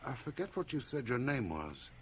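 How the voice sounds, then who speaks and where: A man's voice: mid-range voice, big pitch swings, normal speed, a little hiss or hum, lightly acted. An actor playing a self-important older businessman in a radio drama, brusque, blustering and dismissive. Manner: brusque, pompous. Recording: cassette transfer of 1980 radio drama.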